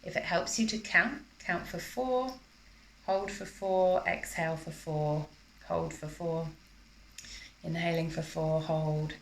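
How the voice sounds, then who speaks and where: Female yoga instructor, slightly low voice, calm measured guidance for breathwork, recorded live in a reverberant space. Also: creaky, slight background noise. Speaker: yoga teacher. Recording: roomy, echoing live class recording.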